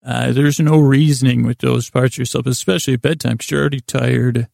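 A man hosting a podcast in a gravelly, creaky voice, rambling through a halting, self-deprecating bedtime monologue that trails off and repeats words. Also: mid-range voice, steady tone, darkish tone, dry recording, clean studio sound. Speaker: podcast host.

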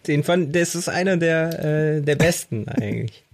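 A man's voice: on the high side, animated, moderate pace. A man co-hosting a podcast, chatting in a casual, conversational way. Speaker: podcast co-host, drummer. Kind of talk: casual chat.

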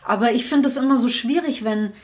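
A young woman with a voice a little higher than most speaks in a casual podcast conversation. She talks candidly and at length, circling back with restarts as she thinks aloud. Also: fairly quick, darkish tone. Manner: candid, talks in long reflective runs with restarts. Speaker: podcast co-host.

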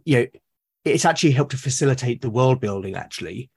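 A man's voice: mid-range voice, lively intonation, fast talker, gravelly, darkish tone, slightly rough. A British man being interviewed as a guest, who talks casually and hesitantly, often repeating words as he starts a sentence. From United Kingdom. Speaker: guest, artist and podcaster. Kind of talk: casual conversation.

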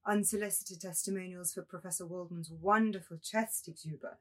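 A woman acting an ethereal, primly reproachful young nymph in a dramatised novel reading, with a husky voice. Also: on the low side, fairly even intonation, fairly quick, a bit bright. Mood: sad reproach.

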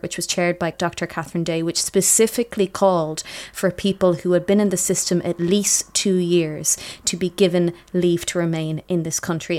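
A woman reporting and explaining a news story in a measured way. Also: on the low side, darkish tone.

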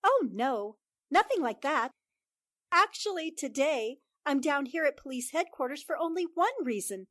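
A woman's voice: sits high, animated, moderate pace, fairly bright tone, no room sound, clean studio sound. A woman acting a smart, plucky newspaper reporter, playful and teasing, in a 1940s-style radio drama. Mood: playful, flattering.

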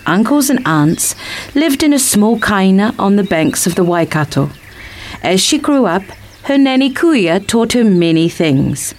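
A woman reading a picture book as scripted story narration. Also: mid-range voice.

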